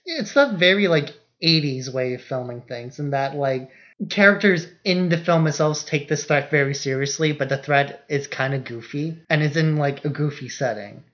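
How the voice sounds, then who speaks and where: A man's voice: on the high side, animated, normal speed, fairly bright tone, muffled recording. A young adult man co-hosting a podcast and chatting casually about films. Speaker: podcast co-host. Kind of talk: casual film discussion.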